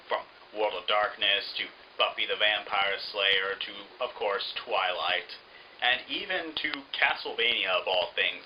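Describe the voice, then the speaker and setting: A man's voice: fairly low pitch, bright, forceful tone, a little hiss or hum, poor audio. A man giving an opinionated book review in a sarcastic, emphatic manner.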